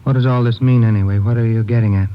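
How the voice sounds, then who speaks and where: A man acting a nervous, evasive murder suspect who pleads haltingly in an old radio crime drama. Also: mid-range voice, steady tone, close to an everyday voice. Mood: defensive. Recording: muffled, hissy old radio recording.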